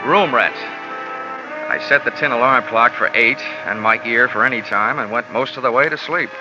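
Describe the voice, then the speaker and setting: A wisecracking man narrates in an old radio drama, with a hard-boiled edge. His voice sits at an ordinary middle pitch. It comes through a muffled lo-fi broadcast with clear music playing under it. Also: normal speed. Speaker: insurance investigator narrator and lead.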